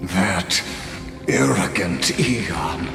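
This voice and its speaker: Very slow, deep, scholarly villain. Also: male voice, expressive, gravelly, heavily acted. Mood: bitter contempt. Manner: slow, theatrical, grandiloquent speech. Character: arrogant scholarly machine villain, old and zealous schemer. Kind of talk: scripted game villain monologue. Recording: echoey hall reverb, music behind.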